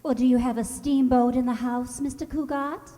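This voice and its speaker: Woman as coy radio-comedy nurse, fairly high voice, composed, dry wit, hall reverb. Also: steady tone, moderate pace, dark tone, lightly acted. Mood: dryly joking. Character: composed, dry-witted young nurse minding her patient. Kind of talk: comic radio-play acting. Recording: reverberant hall stage recording.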